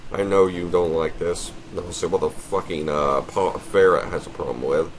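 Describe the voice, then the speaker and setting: A man with a very deep, low voice hosts a casual let's play, reading game text aloud between his own remarks. He is courteous and a little apologetic, speaking to his viewer formally. A faint hiss runs under his voice. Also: steady tone, moderate pace.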